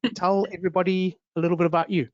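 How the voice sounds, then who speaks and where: A man's voice: high voice, flat delivery, very fast, no room sound, dull audio. Man hosting a webinar. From South Africa. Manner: friendly, rambling, many fillers and restarts. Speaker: webinar host, realtor. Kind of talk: online webinar presentation.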